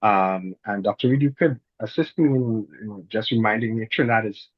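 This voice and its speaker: Husky Caribbean man moderating, muffled dry audio. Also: fairly low pitch, moderate pace, no room sound. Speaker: panel moderator. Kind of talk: moderating a discussion.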